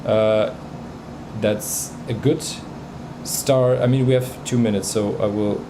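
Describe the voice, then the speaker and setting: Male streamer, native Bosnian speaker, casual and hesitant, slow delivery, noticeable hum behind him. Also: fairly low pitch, steady tone. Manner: hesitant, many fillers and restarts. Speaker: livestream host reviewing music tracks. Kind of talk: casual livestream chat.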